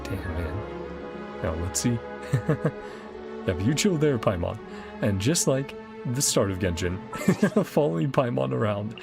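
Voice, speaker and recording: A man's voice: lowish voice, animated, a little slow, gravelly, pauses often. A man streaming a game, chatting casually and chuckling often as he half-reads the dialogue aloud. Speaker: gaming streamer. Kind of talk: casual let's-play commentary. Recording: game music playing underneath.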